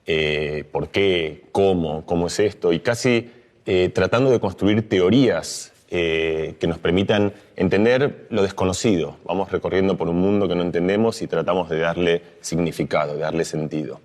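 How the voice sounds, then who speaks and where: A man's voice: deep voice, moderate pace, darkish tone. A middle-aged man from Argentina, speaking as a neuroscientist in a reflective explanatory talk, with fillers and restarts.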